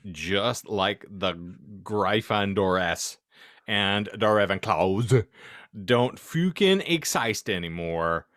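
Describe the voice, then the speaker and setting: A man's voice: fairly low pitch, dry recording, clean studio sound. A man hosting a podcast, giving a comic, mock-serious dramatic reading of fan fiction. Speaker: podcast host and reader.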